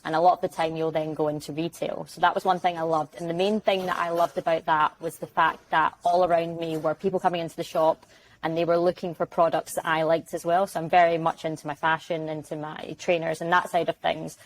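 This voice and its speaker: A young Scottish woman with a Glaswegian accent tells her story as an interview guest over a video call. Her voice sits a little low for a woman, and she chats easily, slipping into quick quoted back-and-forths. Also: little pitch movement, a little hiss or hum. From Glasgow, Scotland. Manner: chatty, acts out little quoted exchanges. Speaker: guest, sales development rep.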